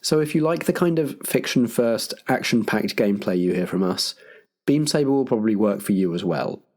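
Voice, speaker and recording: A man's voice: lowish voice, animated, a little fast, a little mellow. A man acting as compere, delivering scripted programme notes as a podcast host.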